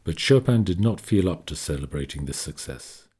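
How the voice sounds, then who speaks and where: An actor playing an assured 19th-century gentleman in a radio play, a man with a husky voice telling of his friend in a formal, expansive way. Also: low-pitched, animated, moderate pace. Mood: solemn.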